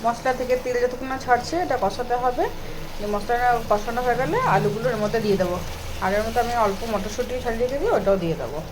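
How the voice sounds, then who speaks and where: A woman's voice: fairly high pitch, very fast. A woman with a Bengali accent giving a casual cooking demonstration, explaining each step as she does it. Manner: step-by-step narration of own actions. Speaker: home cook vlogger. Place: home kitchen, indoors. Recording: noisy home kitchen, frying and sizzling. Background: frying, sizzle, cooking noise.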